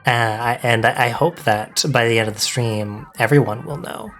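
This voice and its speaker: A man's voice: medium pitch, fairly bright tone. A man hosting a casual livestream interview, asking questions in an encouraging, good-humoured manner. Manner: encouraging, quick to laugh. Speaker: interviewer, stream host.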